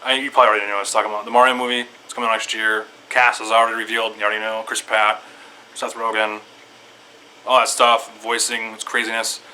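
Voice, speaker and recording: A man giving casual, rambling opinions to viewers in an excitable fan's manner, often repeating himself. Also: on the low side, fast, slight background noise, rough recording. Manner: excitable fan talk, repetitive, loosely structured. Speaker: video creator giving opinions.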